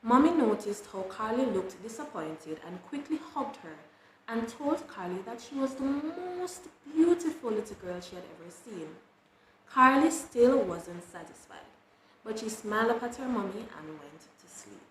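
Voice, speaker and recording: Woman, children's read-aloud, expressive storytelling, echoey room, faint background noise. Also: on the high side, measured pace. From Jamaica. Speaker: children's book author reading aloud. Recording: roomy echo with clear background noise.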